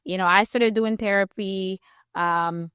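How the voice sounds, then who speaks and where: A woman from Haiti hosting a podcast interview, animated and conversational. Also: mid-range voice, unhurried, clear, resonant tone, no echo, clean studio sound. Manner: animated, acts out quoted voices, warm and conversational. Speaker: podcast host.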